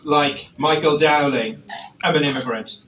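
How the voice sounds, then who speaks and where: A man's voice: fairly high pitch, a bit bright, far from the mic, slight background noise. Irish man speaking in a roomy hall. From Ireland. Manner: measured, halting, with many fillers. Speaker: organization representative. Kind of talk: public welcoming speech. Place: event hall.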